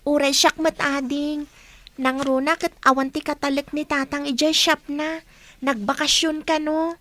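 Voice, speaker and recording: A woman's voice: sits high, little pitch movement, measured pace, a bit bright. A woman playing a dutiful, caring schoolgirl, the eldest daughter, in a radio drama. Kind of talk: Ilocano radio drama acting.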